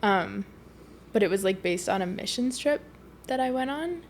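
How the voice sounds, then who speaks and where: A woman's voice: mid-range voice, animated, moderate pace, a little hiss or hum. A young woman from Canada chatting casually as a podcast guest, rambling with lots of fillers and laughs. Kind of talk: casual conversation.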